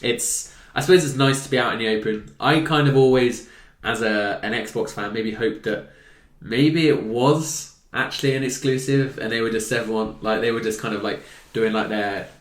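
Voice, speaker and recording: A man's voice: mid-range voice, moderate pace, hardly any pauses. A hungover man in his twenties hosting a casual gaming news chat, jokey and teasing with his co-hosts. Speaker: host. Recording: roomy echo.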